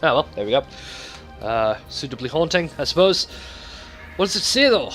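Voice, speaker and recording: A man with a lively, swooping delivery and a slightly high voice gives casual gameplay commentary. He rambles and swears loosely as a let's play host, with faint music behind him. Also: normal speed.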